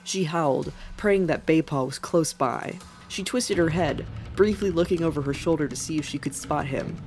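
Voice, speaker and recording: A woman's voice: low-pitched, normal speed, darkish tone. A woman reading scripted fiction as a dramatic narrator. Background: music.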